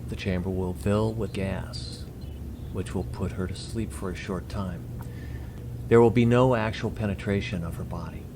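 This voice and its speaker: A man's voice: low-pitched, fairly even intonation, normal speed. A man playing a courteous, composed alien envoy in a science fiction audio drama, formal and precise in speech. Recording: clearly noisy audio drama track.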